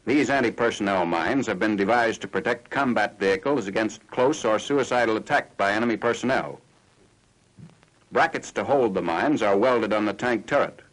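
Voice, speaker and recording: An American man reading scripted 1940s military newsreel narration in a formal, factual, measured announcer style. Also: on the low side, fairly bright tone. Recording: noisy 1940s newsreel film soundtrack.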